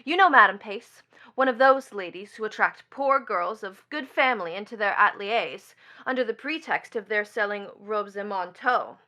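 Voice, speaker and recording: A woman's voice: mid-range voice, expressive, moderate pace, few pauses, bright, forceful tone. A woman playing a bitter, mocking young stepdaughter in a stage drama, with a taunting, theatrical delivery. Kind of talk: theatrical stage play acting.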